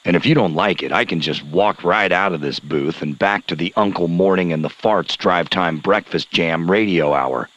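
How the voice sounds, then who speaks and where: An actor playing a grandiose, touchy late-night radio announcer with dramatic, theatrical phrasing. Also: male voice, low-pitched, a bit bright, no room sound, dull audio. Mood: haughty, offended. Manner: pompous, drawn-out dramatic phrasing.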